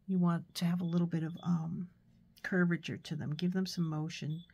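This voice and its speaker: A woman with a stuffy nose from a cold, giving a relaxed, chatty painting tutorial with fillers and soft asides. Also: fairly low pitch, moderate pace, slightly rough. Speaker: art tutor.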